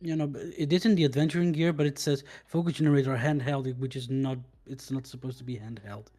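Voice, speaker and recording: A man's voice: mid-range voice, fairly even intonation, fast talker, mellow, soft background music. A man playing a tabletop game online, casually explaining the rules to the group. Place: online voice call.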